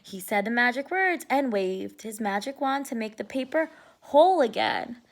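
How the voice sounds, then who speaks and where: A woman giving an expressive read-aloud of a storybook for preschoolers, explaining simply to young listeners. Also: fairly high pitch, normal speed.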